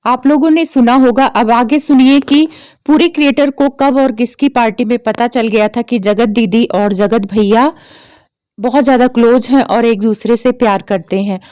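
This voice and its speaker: A woman's voice: on the high side, a little mellow. A woman vlogger giving gossip commentary, speaking directly to her viewers. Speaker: reaction vlogger presenting recordings.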